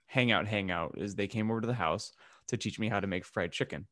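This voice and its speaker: A man's voice: on the low side, fairly quick, a bit bright, no room sound. Man bantering, very dry close sound. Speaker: podcast co-host. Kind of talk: casual comedic group chat.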